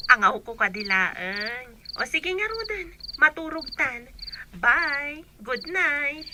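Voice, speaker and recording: A woman's voice: sits high, lively intonation, slow, very noisy. A woman acting a teasing, forthright young woman with an Ilocano accent in a radio drama.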